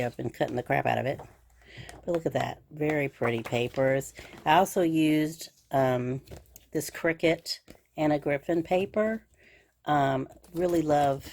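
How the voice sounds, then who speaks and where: A woman's voice: very deep voice, vocal fry, a little mellow. A middle-aged woman hosting a crafting video, chatting and gushing over her supplies with plenty of fillers, her voice a little scratchy from a cold. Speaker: craft channel host. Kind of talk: casual crafting show-and-tell.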